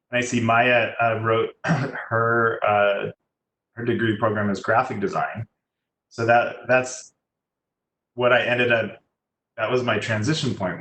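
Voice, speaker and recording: A man speaking as a guest, giving a casual, halting, chatty career talk to students. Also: mid-range voice, fairly bright tone. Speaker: guest speaker, musician and designer. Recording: echoey room, faint background noise.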